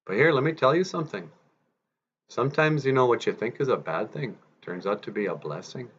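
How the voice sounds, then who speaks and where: A man's voice: medium pitch, expressive, fairly quick, a bit bright, highs cut off, a little hiss or hum, pitched above the performer's own voice, close to an everyday voice. A man voicing a wise, admonishing Great Spirit in a folktale. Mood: reassuring. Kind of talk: animal folktale storytelling.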